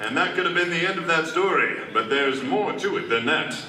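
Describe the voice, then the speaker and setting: A man's voice: fairly high pitch, expressive, fairly quick, pushed and bright, a little hiss or hum. A man playing a brash, bumbling reporter with old-fashioned slang in a comic 1940s-style radio play, recorded from afar in a roomy hall. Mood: excited explaining. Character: cocky, bumbling, slangy reporter who is secretly a masked hero. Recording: roomy convention hall, distant camera.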